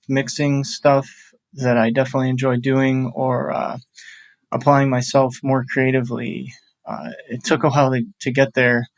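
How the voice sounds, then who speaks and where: Flat-voiced man in his thirties, dry sound. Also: medium pitch, no echo. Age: 30s. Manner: thoughtful, rambling, with restarts and filler. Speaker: audio producer. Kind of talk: casual group chat.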